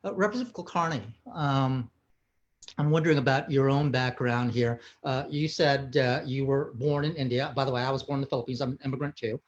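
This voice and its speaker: A man's voice: mid-range voice, fairly even intonation, a little fast. Man, from Hawaii, panel moderator, rambling friendly questions, muffled audio.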